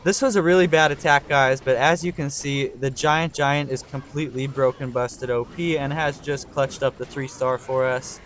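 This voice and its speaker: A slightly hoarse young man giving live commentary on a strategy game, talking through his thinking and getting excited on big plays. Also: mid-range voice, normal speed, few pauses, a little hiss or hum. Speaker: gaming channel host.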